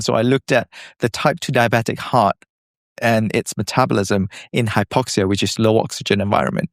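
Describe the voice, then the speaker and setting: A man's voice: mid-range voice, dry recording, clean studio sound. A man in his thirties from Malaysia, a scientist guest on a podcast, explaining earnestly with some fillers and restarts. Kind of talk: podcast interview.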